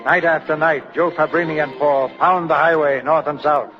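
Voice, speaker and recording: A man's voice: a little high, steady tone, moderate pace. A man delivering scripted narration for a 1940s radio drama. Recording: muffled 1940s radio with music.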